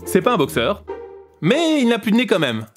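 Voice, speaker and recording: A man's voice: sits high, wildly swooping pitch, normal speed, faint music behind. A man acting a timid, naive new employee in a comedic audio drama, earnest, hesitant and rambling as he explains himself. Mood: earnest, muddled. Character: timid, naive, muddled new male employee pitching absurd story.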